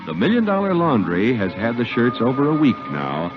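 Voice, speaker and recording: A man's voice: medium pitch, huge pitch swings, a little fast. A man announcing in a 1950s radio style, with a polished, emphatic sales delivery. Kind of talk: 1950s radio advert and scripted narration. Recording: old, dull 1950 radio broadcast with music.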